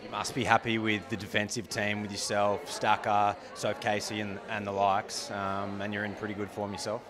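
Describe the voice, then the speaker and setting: A man's voice: lowish voice, flat delivery, normal speed, crowd noise. An Australian man working as a sports interviewer, asking casual post-match questions. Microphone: handheld interview microphone.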